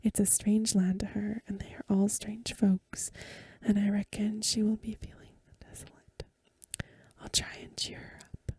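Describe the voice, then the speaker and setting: A woman reading a Victorian story for ASMR in a hushed, gentle, breathy whisper, unhurried and close to the microphone. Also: medium pitch, flat delivery, dry recording. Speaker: ASMR reader.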